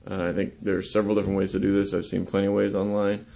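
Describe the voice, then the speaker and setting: A young man casually demonstrating how to lash boat joints, talking in a relaxed, halting way with many ums and uhs. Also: low voice, gravelly. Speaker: woodworking instructor. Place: indoor workshop.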